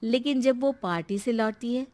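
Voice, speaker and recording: A woman's voice: on the high side, normal speed, mellow. A woman narrating a scripted family moral story as its storyteller.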